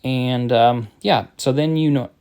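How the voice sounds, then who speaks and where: A man giving an informal step-by-step tutorial as a product demonstrator, explaining in a halting, matter-of-fact way. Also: mid-range voice, a little mellow, clean tone.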